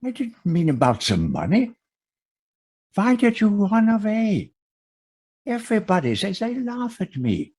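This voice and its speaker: A bitter old German farmer in a Western radio play. His husky voice swings wildly from low muttering to high outbursts, halting and aggrieved. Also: wildly swooping pitch, darkish tone, full character voice. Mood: hurt, defensive. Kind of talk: Western radio drama acting.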